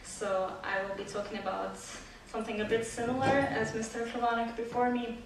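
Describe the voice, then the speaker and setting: A woman from Croatia, a native Croatian speaker and PhD student, giving a measured academic conference talk with frequent "uh" fillers. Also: fairly high pitch, level tone, slight background noise. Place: large lecture hall. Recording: echoey hall audio from far off.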